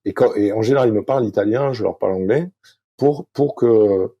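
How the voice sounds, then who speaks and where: A middle-aged French-speaking man, a sailing coach as podcast guest, talking slowly with many fillers and restarts. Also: fairly low pitch, mellow, breathy edge, no room sound, muffled recording. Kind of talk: podcast interview, thinking aloud.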